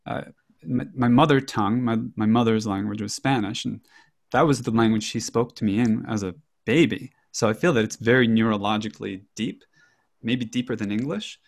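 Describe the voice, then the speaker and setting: A man's voice: fairly low pitch, normal speed, vocal fry. A man on a group video call speaking reflectively about himself. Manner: reflective, thoughtful. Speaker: participant.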